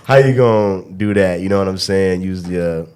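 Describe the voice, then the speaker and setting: A young man with a fairly low voice hosts a podcast in a relaxed, chatty way. He rambles through his stories and keeps checking in with his listener. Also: normal speed, very noisy. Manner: loose storytelling, keeps asking "you know what I mean". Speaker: podcast host. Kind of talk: casual chat.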